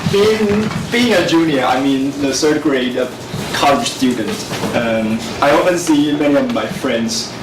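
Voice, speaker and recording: A young man, giving a student presentation in a class, halting, with many fillers and self-corrections. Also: mid-range voice. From Taiwan. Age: 20s. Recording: echoey, distant mic with noise.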